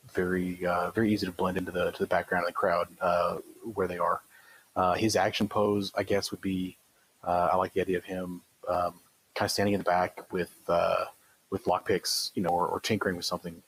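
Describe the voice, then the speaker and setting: A man's voice: deep voice, slightly rough, rough recording. A man casually describing his tabletop game character, hesitant and searching for words.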